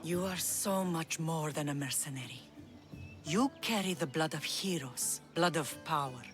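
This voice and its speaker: A woman's voice: low-pitched, a little slow, soft background music. Proud, solemn Spartan mother. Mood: solemn pride. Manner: firm, solemn, instructive. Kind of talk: dramatic video game cutscene dialogue.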